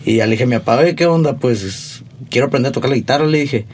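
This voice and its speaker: A man's voice: mid-range voice, fast, a little mellow, slightly rough. Laid-back Sonoran young man. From Sonora, Mexico. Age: 20s. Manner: laid-back, slangy, rambling. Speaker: musician guest. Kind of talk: casual interview storytelling.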